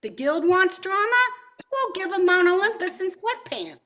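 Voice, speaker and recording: A woman's voice: extremely high pitch, very animated, normal speed, bright, forceful tone, heavily acted. An older woman playing an assertive, ambitious artistic wife with a dramatic, bossy manner in an amateur radio comedy. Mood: impatient, rousing.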